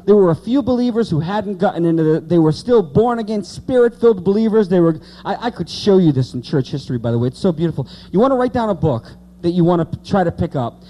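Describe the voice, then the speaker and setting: A man's voice: high voice, expressive, quick pace, hardly any pauses, mellow, poor audio. A man in his late thirties preaching an informal evangelical sermon, repeating key words for emphasis and speaking conversationally to the congregation. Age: late 30s.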